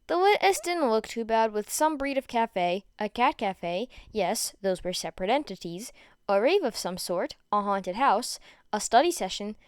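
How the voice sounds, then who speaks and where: A woman narrates fan fiction in audiobook style. Her voice is fairly high and crisp, recorded dry without room echo. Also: sing-song, a little slow.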